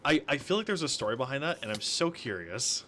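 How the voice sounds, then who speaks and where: Casting: male gamer, very lively intonation, chatty and jokey, casual banter, some background noise. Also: medium pitch. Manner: chatty, jokey, quick to chuckle. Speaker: gamer playing Minecraft with friends. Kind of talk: casual group game chat. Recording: noisy gaming voice chat.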